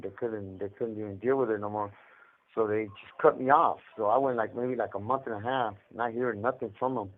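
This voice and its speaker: A man telling his story in casual, rambling street talk with slang and cursing, as an inmate on a phone call. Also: slight background noise. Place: jail or prison phone line. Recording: muffled low-fi collect phone call.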